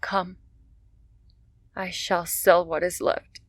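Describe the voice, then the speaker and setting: An actress playing a poor, dying young mother in a radio drama, speaking in a slow, strained and pleading way. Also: on the low side, level tone, no echo, clean studio sound. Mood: desperate.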